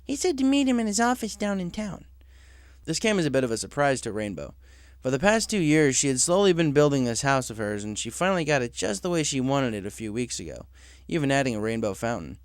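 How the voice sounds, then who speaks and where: A man's voice: mid-range voice, very animated, fast, few pauses, dry recording, studio quality. A man reading a story aloud as a scripted narrator. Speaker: story reader.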